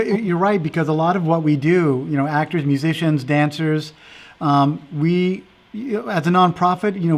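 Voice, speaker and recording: A man hosting a virtual festival and interviewing dancers, who talks in an earnest, meandering way full of fillers. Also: fairly high pitch. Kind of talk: casual interview chat.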